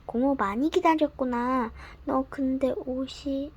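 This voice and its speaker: A woman's voice: high-pitched, slow pace, a little mellow, slightly rough, lo-fi sound, heavily acted. A woman reading a bedtime story aloud, voicing a fussy adult aunt who talks in a caring, slightly scolding way.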